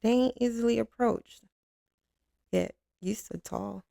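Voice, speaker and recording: A woman's voice: fairly high pitch, unhurried, creaky, mellow, no echo, clean studio sound. A woman playing a tough, commanding mafia boss who turns tender and teasing with her boyfriend, in an intimate ASMR roleplay with streetwise talk. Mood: affectionate, reminiscing. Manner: drawling, teasing, streetwise, with pet names.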